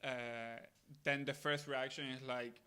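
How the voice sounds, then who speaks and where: Bright-voiced young man from Argentina. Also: medium pitch, little pitch movement, takes it slowly, clean tone. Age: late 20s. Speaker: podcast guest, missionary. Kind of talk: casual interview answers.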